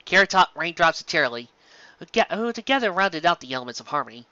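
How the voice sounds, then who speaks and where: An animated audiobook narrator reading a story. The voice is bright and forceful with a very lively range, captured close and dry on a slightly muffled recording. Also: medium pitch, fast, dry recording.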